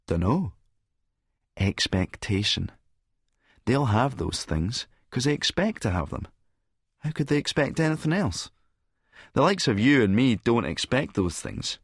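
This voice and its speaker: A man's voice: medium pitch, big pitch swings, measured pace, long pauses, husky, dry recording, studio quality, heavily acted. A man playing a cynical middle-aged Scottish schemer who narrates in a verbose, sardonic, lecturing manner. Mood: scornful, sardonic. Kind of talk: first-person audiobook narration with dialogue.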